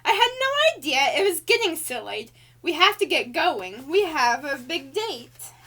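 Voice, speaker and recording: A woman's voice: high-pitched, sing-song, measured pace, bright, forceful tone, full character voice. A woman voicing a female cartoon angel who reads a rhyming storybook to children in a showy, sing-song way with exaggerated emphasis. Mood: bright, excited. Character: Alice Angel, female cartoon angel reading a bouncy birthday storybook.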